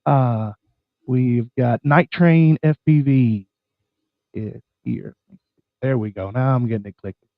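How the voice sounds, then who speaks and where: A man with a scratchy, bronchitis-worn voice, casually hosting a live stream chat in a folksy, halting way. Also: mid-range voice, no echo, narrow, tinny audio.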